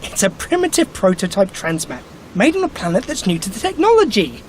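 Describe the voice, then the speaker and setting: Audio drama time traveler, actor performing: eccentric, wry, glib, very animated intonation, faint ambience behind. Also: mid-range voice, brisk, slight background noise, lightly acted. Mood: brisk, confident.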